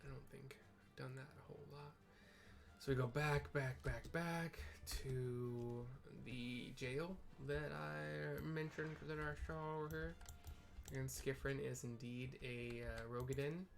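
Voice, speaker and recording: A man's voice: medium pitch, fairly even intonation, a little slow, gravelly. A man giving casual, rambling, offhand commentary as he plays through a video game. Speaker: gaming video host. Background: background music.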